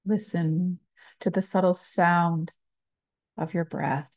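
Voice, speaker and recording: A woman meditation teacher guiding a body scan, speaking calmly and soothingly in short phrases with long pauses. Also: on the low side, no room sound. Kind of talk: slow guided meditation, body scan narration.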